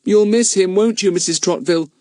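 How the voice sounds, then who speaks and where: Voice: medium pitch, moderate pace, dark tone, no room sound, studio quality, pitched above the performer's own voice, natural voice. A narrator voicing an eager, talkative boy in a storybook. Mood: flustered.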